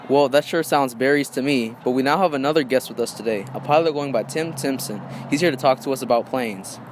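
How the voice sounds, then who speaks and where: A very quick, businesslike 1920s radio host acted by a man moves the show along with folksy period slang. The recording is amateur, with light noise behind the voice. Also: medium pitch, close to an everyday voice. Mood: curious.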